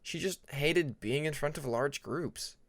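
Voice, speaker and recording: A man narrating a scripted fantasy story as an audiobook reader, with deliberate, dramatic phrasing. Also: on the high side, gravelly, no room sound. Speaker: audiobook narrator of fan fiction.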